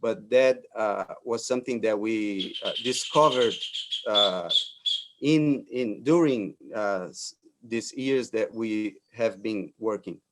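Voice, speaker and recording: A Brazilian architect in his forties giving a talk in English as a second language, halting with frequent fillers and restarts. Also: lowish voice, slight background noise, rough recording. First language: Portuguese. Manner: hesitant, many fillers and restarts. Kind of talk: lecture presentation.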